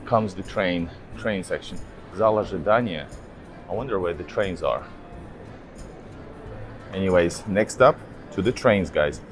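A man with a low, deep voice talks casually to his viewers while walking through a big railway station, camera held at arm's length. He thinks aloud with many fillers and keeps calling the audience "guys." Music plays clearly behind his voice. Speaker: travel vlogger. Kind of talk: walking travel vlog. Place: outdoors at a city railway station, then inside a large station hall. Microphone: handheld camera at arm's length.

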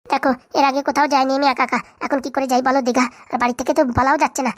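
Woman as bossy young Bengali cartoon girlfriend, very fast glib chatter, high pitch, sly. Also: little pitch movement, no echo, clean studio sound, close to an everyday voice. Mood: worried, persuasive. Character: sly, bossy young woman scheming to fleece her boyfriend. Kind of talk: comic cartoon dubbing.

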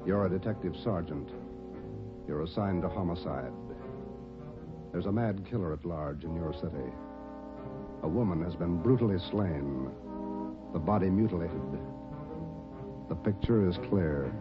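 A very deep-voiced American male announcer narrates in a punchy, portentous radio style. Music sits clearly behind him, and the recording is muffled with age. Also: a little slow, pauses often. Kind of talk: dramatic mid-century radio narration. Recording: muffled old radio broadcast. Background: dramatic music.

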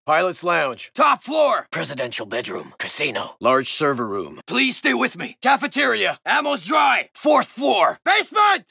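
Armored shield operator, game voice lines, sharp bright tone, dry booth sound, terse callouts. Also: male voice, creaky, husky, no echo, heavily acted. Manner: terse tactical callouts, swinging from low murmurs to shouts. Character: heavily armored male shield operator, steady and protective. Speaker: game character voice.

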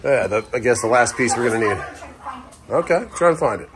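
Chatty dad vlogging on a handheld camera. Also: male voice, mid-range voice, a little fast. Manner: lively, chatty, playful with kids. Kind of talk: casual family vlog chat. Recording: noisy camera audio.

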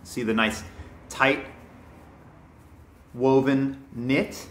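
A man's voice: mid-range voice, drawn-out words. Young man reviewing in an echoey room. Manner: relaxed, with frequent ums and restarts. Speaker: product reviewer. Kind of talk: casual product review. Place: bare indoor room with wooden floor. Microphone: camera mic, near then from a distance. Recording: echoey room, faint hiss.

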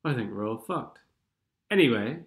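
A man's voice: mid-range voice, expressive, normal speed. A man with a stuffed-up nose from a cold, giving sardonic, rambling commentary over game replays. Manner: sardonic, rambling, sings snatches of songs. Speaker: gaming commentator.

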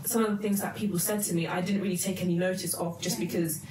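A young woman, born in Germany, answering interview questions with many pauses and ums. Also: lowish voice, fairly even intonation, darkish tone. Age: young adult. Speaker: guest. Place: living room, indoors. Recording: echoey room from far off, faint noise.

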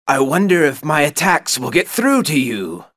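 Arrogant young game knight: theatrical, taunting, forceful tone, very animated pitch. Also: male voice, normal speed. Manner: mocking, theatrical, intense. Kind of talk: video game villain acting.

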